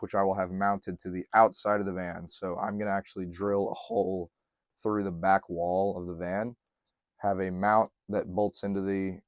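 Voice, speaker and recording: Guy for a diy van vlog, very low, a bit hoarse, dry close sound. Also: no echo. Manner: relaxed, chatty, many ums and throat clearing. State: slightly hoarse, losing his voice. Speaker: van life vlogger. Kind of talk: casual how-to narration to camera.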